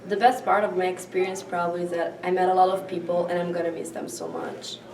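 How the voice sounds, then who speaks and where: A woman's voice: lowish voice, flat delivery, fast, roomy sound, recorded from a distance, a crowd in the background, poor audio. A teenage exchange student from Italy, talking plainly about her stay. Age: teens.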